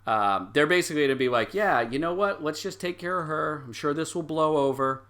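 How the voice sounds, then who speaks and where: A man's voice: a little high, animated, brisk, fairly bright tone. A man working as game master, improvising narration for a tabletop game and slipping into the characters' lines. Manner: acts out characters' lines in quotes while narrating.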